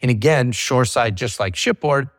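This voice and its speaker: A man's voice: medium pitch, moderate pace, no room sound, studio quality. A middle-aged man, a company CEO, talking through his business in a casual interview. He is chatty, leans on "you know" fillers, and acts out what his clients say. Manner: quotes clients in an acted voice.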